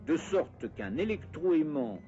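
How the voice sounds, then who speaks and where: Lively male explainer narrator, dull recording. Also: a little high, slow, soft background music. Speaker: narrator of an educational cartoon. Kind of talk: scripted educational narration with playful asides.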